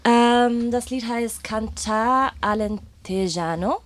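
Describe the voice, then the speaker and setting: A woman's voice: a little high, slow. A woman chatting casually as an interview guest, telling her story in a hesitant, thoughtful way. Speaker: guest performer, singer and guitarist. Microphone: microphone.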